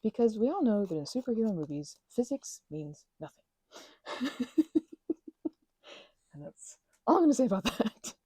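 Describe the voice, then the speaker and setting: A woman rambles through a soft-spoken crafting chat, eager and full of asides. Her voice is mellow and breathy with a gentle vocal fry. The sound is dry and close to the microphone. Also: a little high, sing-song, moderate pace, long pauses, no room sound. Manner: chatty, enthusiastic, trails off into restarts and asides. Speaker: ASMR channel host.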